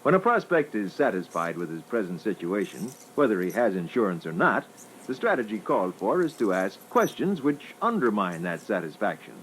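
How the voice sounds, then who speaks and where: A man's voice: on the low side, big pitch swings, moderate pace. A man moderating a sales training record with scripted instructional narration. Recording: faintly noisy old reel-to-reel training record.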